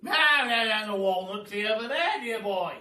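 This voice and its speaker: A man voicing a loud, pompous, generous aristocratic Duke from a storybook, grand and exclamatory. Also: high-pitched, animated, normal speed, voice pushed up. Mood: hearty, generous. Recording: roomy echo, far from mic.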